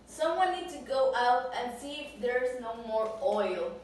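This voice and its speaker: Casting: woman as concerned sea creature for puppet show, halting non-native English, distant in a very echoey room. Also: fairly high pitch, lively intonation, a little mellow. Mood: urgent. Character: caring, alarmed sea creature friend in oil spill. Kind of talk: amateur puppet show acting.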